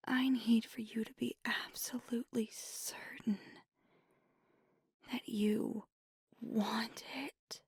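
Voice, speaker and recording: Woman acting a vampire girlfriend, ASMR roleplay, breathy whisper, higher-pitched, very slow halting delivery, tender, intimate, close mic. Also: level tone, no echo, exaggerated voice. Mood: tender, earnest. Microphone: close, dry microphone.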